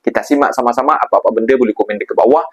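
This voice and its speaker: A man's voice: medium pitch, racing, breathy edge. A man hosting a reaction video, speaking emphatically and indignantly to his viewers as he comments. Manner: indignant, emphatic, refers to himself in third person.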